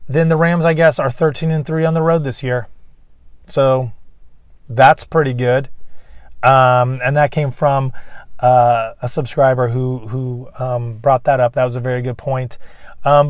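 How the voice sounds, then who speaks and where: Hoarse man hosting a fan vlog. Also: on the high side, darkish tone, dry recording, studio quality. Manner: chatty, many fillers, throat clearing. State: losing his voice, getting sick. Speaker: YouTube fan channel host. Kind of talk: casual sports preview vlog.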